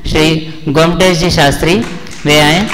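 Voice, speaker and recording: A man's voice: a little high, fairly even intonation, measured pace, noisy background. A man anchoring a stage event, courteously inviting the judge up to speak. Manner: formal and courteous. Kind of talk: stage announcement. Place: hall at a live stage event. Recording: long hall reverb.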